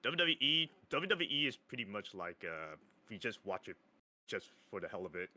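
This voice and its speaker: A man's voice: fairly low pitch, very animated, moderate pace, bright, forceful tone. A man in his thirties, a podcast guest chatting casually, hesitant and laughing often. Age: 30s. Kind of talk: casual chat.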